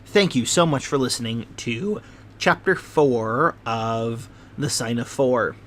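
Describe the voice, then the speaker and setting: A man reading a classic novel aloud as narrator, in a measured way with the odd slip and restart. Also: mid-range voice, fairly bright tone, a little hiss or hum. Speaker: narrator and channel host.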